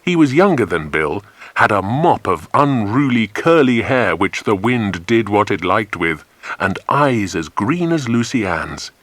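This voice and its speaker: A man narrating a children's adventure story in an expressive, scripted audiobook style. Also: fairly low pitch, unhurried, dry recording.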